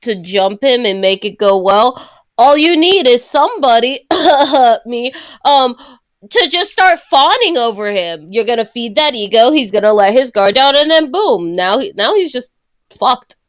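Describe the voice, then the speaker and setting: A woman's voice: a little high, lively intonation, a little slow, no room sound, studio quality. A woman chatting casually and playfully among friends. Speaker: panelist in a group discussion.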